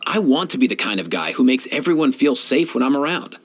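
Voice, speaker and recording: An actor playing a kindly, laid-back young veterinarian in a video game, talking in casual slang. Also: male voice, mid-range voice, sing-song, a little fast. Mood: relaxed, friendly. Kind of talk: game voice lines, conversational.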